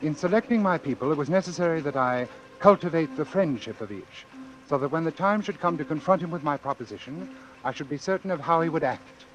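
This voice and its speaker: An actor playing a coolly confident, obsessive doctor in a vintage radio suspense drama, speaking formally and precisely. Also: male voice, a little high, expressive, brisk, muffled recording, faint music behind, close to an everyday voice. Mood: measured, recounting. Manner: formal, precise, self-assured. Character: coolly confident, obsessive male doctor running a deadly experiment. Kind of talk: old-time radio suspense drama acting and narration.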